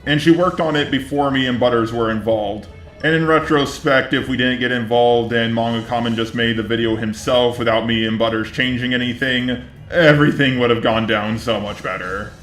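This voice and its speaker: A man giving scripted online drama commentary in a measured, conciliatory way. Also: medium pitch, a bit bright, reverberant, soft background music. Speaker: YouTube commentator.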